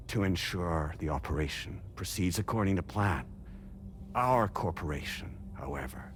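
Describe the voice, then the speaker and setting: Very deep-voiced formal corporate leader. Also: male voice, a little hiss or hum. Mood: formal, composed. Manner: formal, measured, polite, articulate. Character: composed, formal male corporate leader directing an operation. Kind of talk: cinematic video game acting.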